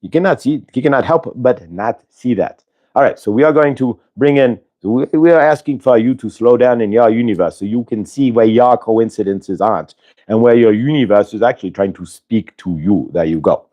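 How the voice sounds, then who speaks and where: A man voicing a benevolent otherworldly spirit collective in an affected, vaguely Indian-sounding accent, with drawn-out emphatic words, as in a live channeled healing session. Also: medium pitch, highs cut off, close to an everyday voice. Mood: insistent, wondering. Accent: affected, vaguely Indian-sounding put-on accent.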